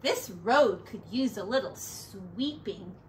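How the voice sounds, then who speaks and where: A woman's voice: medium pitch, animated, slow, far from the mic, slight background noise. A woman doing a fussy, prim farm mother. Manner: prim, houseproud, chiding.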